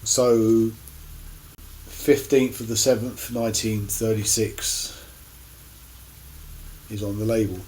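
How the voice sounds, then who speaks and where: A man giving a casual, unscripted show-and-tell to camera as a record collector, in a halting, rambling way with many pauses. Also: fairly low pitch, steady tone, a little mellow, slight background noise, rough recording. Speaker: record collector presenting finds on his channel.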